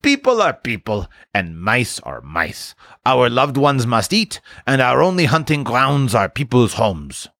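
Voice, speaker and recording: A man's voice: mid-range voice, very animated, measured pace, hardly any pauses, fairly bright tone, no room sound, clean studio sound. A man voicing a worldly, fatalistic male mouse in a storybook, breezy and theatrical. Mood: dismissive.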